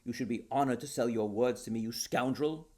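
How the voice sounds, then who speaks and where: A man voicing a haughty, irascible Duke in a story, pompous and scornful, with a gravelly voice. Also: medium pitch, a little fast, darkish tone, lightly acted. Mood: indignant rage.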